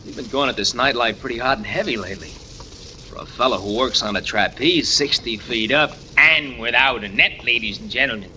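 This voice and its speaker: A bright, breezy 1940s circus pal. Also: male voice, mid-range voice, normal speed. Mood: concerned. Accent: American. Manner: breezy, slangy. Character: cheery, kidding young male trapeze flyer, loyal pal. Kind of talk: 1940s radio drama acting. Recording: old radio recording, faint noise.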